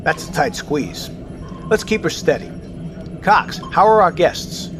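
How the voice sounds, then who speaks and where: A man voicing a weary submarine captain who gives his orders calmly and briskly, in a slightly higher register. Music plays clearly behind him in this audio drama. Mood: calm command. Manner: calm, commanding, brisk orders. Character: tired, decisive male submarine captain.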